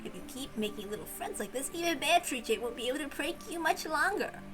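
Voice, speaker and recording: A woman voicing a kindly, playful servant who gently coaxes and explains like a storyteller, in a visual novel read-aloud. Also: sits high, lively intonation, a little fast, over music. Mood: gentle, coaxing. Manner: gentle, coaxing, chuckling storyteller.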